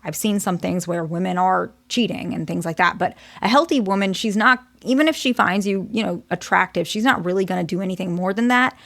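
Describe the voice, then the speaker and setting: Soft-spoken woman giving candid advice. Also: lowish voice, brisk, creaky, few pauses. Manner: candid, hedging, talks in short restarting phrases. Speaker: therapist and channel host. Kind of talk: casual advice talk to camera.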